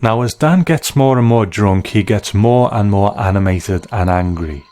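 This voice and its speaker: A man's voice: on the low side, normal speed, a little mellow. A man hosting a scripted retrospective, giving appreciative commentary on a classic show. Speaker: host. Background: music.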